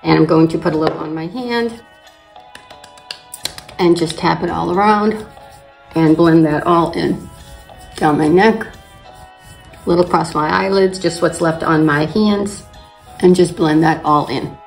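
An older woman hosting a beauty channel, slightly raspy from allergies, calmly explaining each makeup step in front of a fixed camera. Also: deep voice, unhurried, long pauses, faint music behind. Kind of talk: makeup tutorial narration. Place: indoors in front of a plain backdrop.

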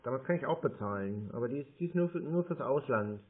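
Calm man on a tinny phone line. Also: mid-range voice. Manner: calm and unhurried, reading digits one by one. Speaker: caller posing as a card holder. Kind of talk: phone call.

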